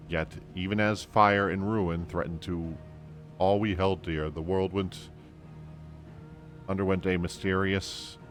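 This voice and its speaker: A man voicing a learned fantasy game scholar who guides a traveler in a formal, measured way. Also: deep voice, close to an everyday voice.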